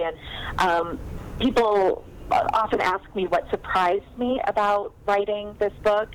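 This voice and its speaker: A woman author talking casually in an interview, chatty and animated, with plenty of fillers and laughs. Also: medium pitch, rough recording. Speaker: guest author. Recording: faint background noise.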